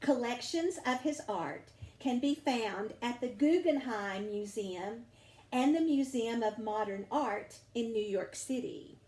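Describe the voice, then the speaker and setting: A woman reading a story aloud for children in a deliberate, teacherly way. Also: a little high, a little mellow, roomy sound. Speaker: storybook reader. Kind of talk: read-aloud narration for children.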